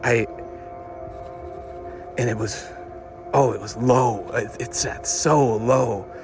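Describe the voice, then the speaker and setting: A man playing an excitable, obsessive car lover who records a rambling, stammering, eager audio diary. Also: mid-range voice, music in the background, voice pushed down, close to an everyday voice. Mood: dreamy, obsessed. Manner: halting, stammering, breathlessly eager.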